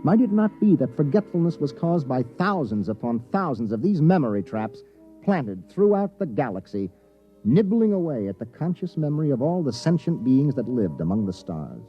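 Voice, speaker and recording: An actor playing a decisive, urgent spaceship captain who narrates his own story, in brisk, authoritative 1950s radio style. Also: medium pitch, sing-song, lo-fi sound, natural voice. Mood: brooding narration. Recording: band-limited 1950s radio recording, music behind.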